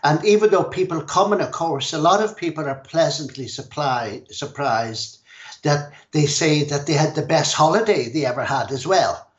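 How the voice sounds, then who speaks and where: A middle-aged man with an Irish accent, a language school director, explaining things in a measured way in a podcast interview. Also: a little high, animated, highs cut off. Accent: Irish, Donegal. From Ireland.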